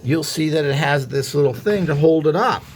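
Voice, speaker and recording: A man's voice: a little high, moderate pace, breathy edge. A man presenting equipment in a casual, unscripted show-and-tell, with a blunt, griping, opinionated way of talking. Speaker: presenter demonstrating vintage equipment.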